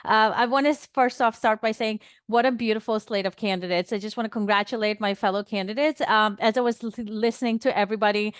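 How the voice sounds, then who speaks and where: A woman from New York, a pharmacist running for a board seat, speaking on a video call in a chatty, energetic way with many fillers and restarts. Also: fairly high pitch, fast, hardly any pauses, clear, resonant tone, no room sound, studio quality. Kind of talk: online meeting speech.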